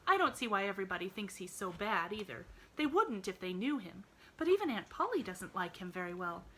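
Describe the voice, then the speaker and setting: Woman voicing a sweet loyal little girl, high pitch, eager, bright. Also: sing-song, fairly quick, slightly rough, heavily acted. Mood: earnest.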